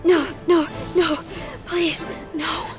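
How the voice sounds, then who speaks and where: A woman's voice: very high-pitched, little pitch movement, very slow, music in the background, heavily acted. A woman playing a young goddess girl in an audio drama, prim and haughty yet frightened, pleading in formal words. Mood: terrified pleading.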